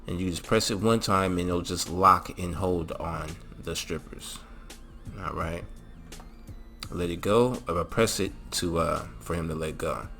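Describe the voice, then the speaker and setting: A man's voice: deep voice, unhurried, creaky, sharp tone, faint music behind. A man hosting a gaming channel, walking through a mod tutorial in a casual way with lots of fillers and a repeated "all right?".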